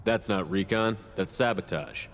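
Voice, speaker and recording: A man's voice: low voice, steady tone, unhurried, long reverb tail, a little hiss or hum. A man playing a human military commander in a video game, direct, cool and businesslike.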